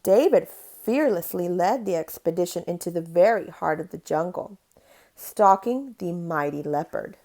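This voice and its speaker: A woman's voice: fairly low pitch, animated, unhurried, mellow. A woman reading a children's picture book aloud in a storytelling style.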